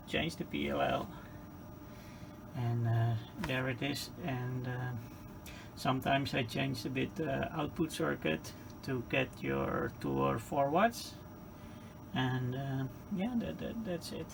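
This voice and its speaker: A man with a heavily creaky voice sits slightly high in pitch and talks slowly. He explains things casually, as a hobbyist would, halting and hesitant but matter-of-fact. Clear noise runs behind him. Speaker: hobbyist presenter of radio repair.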